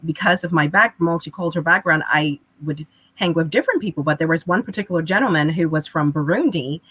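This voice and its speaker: Lowish-voiced woman telling a family story. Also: quick pace, husky, no room sound. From United States. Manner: chatty, animated retelling with restarts. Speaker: panel guest. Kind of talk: conversational storytelling.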